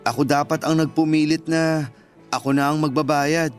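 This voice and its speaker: A man's voice: fairly high pitch, fairly even intonation, normal speed, soft background music, close to an everyday voice. A man acting a polite, dutiful young adult son in a radio drama. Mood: earnest.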